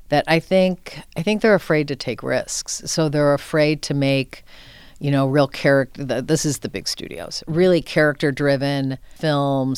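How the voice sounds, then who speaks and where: A woman's voice: extremely low pitch, darkish tone, clean tone, dry recording, clean studio sound. An older woman speaking as an interview guest, informal and earnest, thinking aloud in short conversational phrases. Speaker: film center executive director, interview guest.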